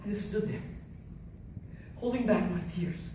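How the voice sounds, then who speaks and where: A man's voice: high-pitched, a little slow. Man acting a haunted soldier, very echoey recording. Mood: urgent, anguished. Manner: theatrical, intense, swinging from hushed to shouting. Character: haunted Navy SEAL survivor recounting a deadly battle. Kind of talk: dramatic first-person monologue. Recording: very echoey, distant, faint noise.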